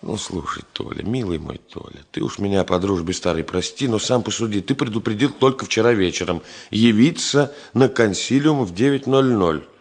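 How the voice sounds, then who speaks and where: An actor in a Russian radio drama playing a stubborn, ironic engineer with a husky voice and a dry, mock-polite manner. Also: male voice, lowish voice, expressive, moderate pace, few pauses. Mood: firm, ironic. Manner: dry irony, mock-polite, emphatic repetition. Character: stubborn, ironic ailing engineer, busy with unfinished work. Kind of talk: 1970 Soviet radio drama acting.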